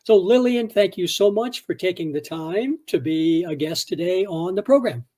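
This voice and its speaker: Formal high-voiced male host. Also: lively intonation, normal speed, dark tone. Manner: formal, courteous. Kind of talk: interview hosting.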